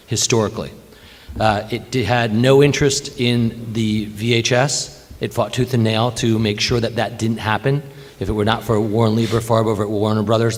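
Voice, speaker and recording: A man speaking as a panelist at an industry conference, making firm, opinionated points. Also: medium pitch. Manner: assertive, opinionated. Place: conference hall. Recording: reverberant conference hall.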